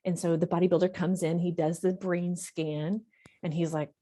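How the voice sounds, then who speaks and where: A woman counselor speaks in long, earnest runs, stressing and repeating her key points. Her voice sits a little low and sounds mellow and warm. She talks in an easy interview style with the odd filler. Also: little pitch movement, moderate pace. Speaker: professional counselor. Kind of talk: conversational podcast interview.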